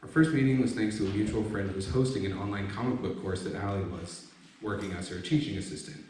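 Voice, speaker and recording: Man, lowish voice, personal essay reading, distant mic, heavy room echo. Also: fast talker, darkish tone. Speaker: author reading a personal essay at a book launch. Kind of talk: reading a written personal essay aloud to an audience. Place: echoey room at a live book launch event. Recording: very echoey room, distant mic, faint noise.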